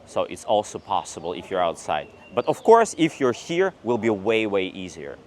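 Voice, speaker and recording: Low voiced belarus guy in his 30s, talks easy and tells stories, lapel mic. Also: huge pitch swings, normal speed, a little mellow. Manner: chatty storyteller, acts out quoted dialogue. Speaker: English teacher, guest. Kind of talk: casual interview answers. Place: outdoors on a paved old street and in a cafe.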